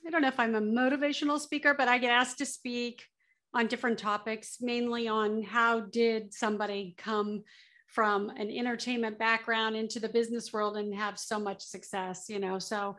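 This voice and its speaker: A woman's voice: fairly high pitch, flat delivery, normal speed, a bit bright. Chatty Californian woman guest, candid. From Southern California. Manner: candid, chatty, with frequent fillers. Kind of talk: casual interview chat.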